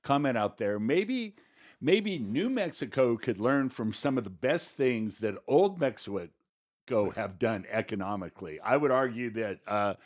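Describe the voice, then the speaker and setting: A man's voice: mid-range voice, expressive, measured pace. A man co-hosting a talk podcast, offering conversational economic commentary in a tentative, loosely phrased way. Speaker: podcast co-host.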